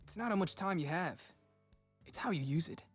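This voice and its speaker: A man's voice: high-pitched, expressive, normal speed, soft background music. A man playing a cocky, determined young male inventor and street fighter in punchy, brash video game lines. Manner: punchy, brash one-liners.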